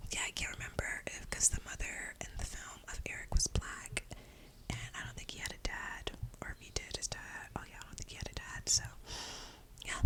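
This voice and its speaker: A young woman giving a casual, informal movie review, whispering nearly the whole time in a breathy, unvoiced voice. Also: rough recording. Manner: informal, slangy, rambling. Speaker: film reviewer.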